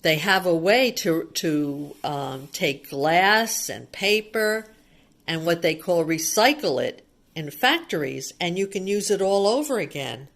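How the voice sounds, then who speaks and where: Lady teacher reading a kids book out loud, takes it slow, repeats stuff, asks the kids little questions. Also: fairly low pitch, animated, gravelly. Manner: simple child-directed talk with repetition and questions. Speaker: teacher reading a picture book to young children. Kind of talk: read-aloud storytime with simple asides to children.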